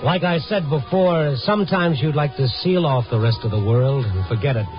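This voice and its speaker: A man's voice: fairly high pitch, moderate pace, natural voice. An American man playing a weary, compassionate newspaper reporter in a 1950s radio drama, speaking plainly. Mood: world-weary. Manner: world-weary, plain-spoken. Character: weary, compassionate male newspaper reporter, tough-talking night wanderer. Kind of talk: 1950s radio drama acting, first-person narration and dialogue. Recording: muffled vintage radio recording with music.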